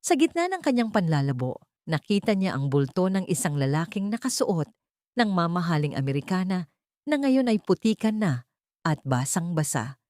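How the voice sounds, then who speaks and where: A woman with a slightly low voice narrates a dramatic love story. Her delivery is scripted audiobook storytelling. The sound is dry, with little room echo. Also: big pitch swings, moderate pace, darkish tone.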